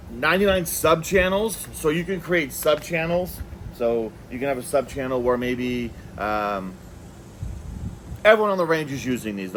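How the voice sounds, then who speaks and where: A man giving a casual hands-on product review, talking in a halting way and repeating words as he goes. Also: fairly high pitch, a little hiss or hum.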